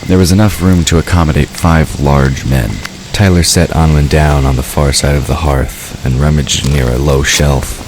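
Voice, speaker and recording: A man's voice: extremely low pitch, steady tone, normal speed, few pauses. A man narrating a scripted story as the narrator of an audio drama. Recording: clear background ambient noise. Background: ambient sound effects.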